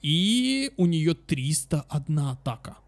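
Chatty higher-voiced man commentating a game. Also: big pitch swings, slow pace. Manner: chatty, thinks aloud, repeats words, exclaims. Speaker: gaming channel host. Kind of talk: casual let's play commentary for children. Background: game music.

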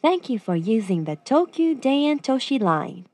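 A woman's voice: high-pitched, sing-song, slow pace, a little mellow, dry recording. A woman delivering scripted train announcements with formal, courteous phrasing.

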